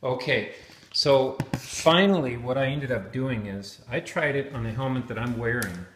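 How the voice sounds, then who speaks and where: A man's voice: medium pitch, normal speed, background noise. A man giving an unscripted hands-on gear demonstration, explaining things step by step. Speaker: product reviewer demonstrating helmet intercoms. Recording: roomy, echoing sound.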